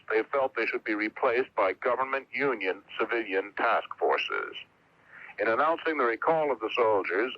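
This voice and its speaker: A man's voice: mid-range voice, no room sound. A man giving a scripted news report as a foreign correspondent. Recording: dull lo-fi vintage radio broadcast.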